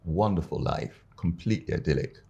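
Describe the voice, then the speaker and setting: Very deep-voiced husky older man. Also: lively intonation, fairly quick, warm tone, muffled recording. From Uganda. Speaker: guest, arbitrator and litigator. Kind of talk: relaxed interview storytelling.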